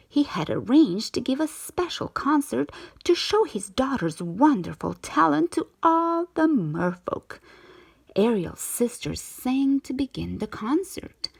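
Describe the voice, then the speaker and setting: Female storybook narrator for young children, slow and lively, with a slightly breathy edge. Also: medium pitch. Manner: expressive, measured storytelling for children. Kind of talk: scripted storybook narration, read aloud.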